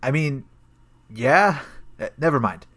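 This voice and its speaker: Guy playing a flustered deadpan detective, audio comedy, rambles and hesitates, cracks dumb jokes. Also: medium pitch, slow pace. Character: awkward, joke-prone male police detective, easily flustered. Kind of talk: comedic audio play acting.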